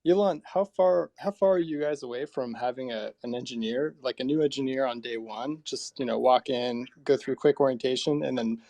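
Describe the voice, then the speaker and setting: A man's voice: medium pitch, moderate pace, vocal fry, hardly any pauses, warm tone, no room sound. A man asking questions casually in a tech discussion. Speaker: panel questioner.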